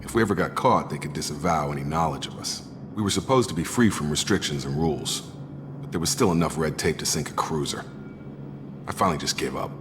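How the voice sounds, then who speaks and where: Brief: a blunt, tired male veteran, very low register, game audio with hiss. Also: fast. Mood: frank. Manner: frank, world-weary. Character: weary, candid male ex-soldier. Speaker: game character. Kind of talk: video game dialogue. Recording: game audio with long reverb and clear hiss.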